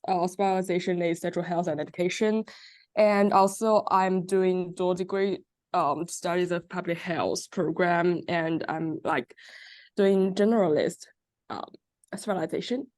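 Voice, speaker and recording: A woman's voice: on the low side, steady tone, unhurried, darkish tone, dry recording. A woman from China introduces herself on an online panel. She talks casually, leaning on filler words. The recording is dry with no room sound. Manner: casual, says like often. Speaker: graduate student panelist.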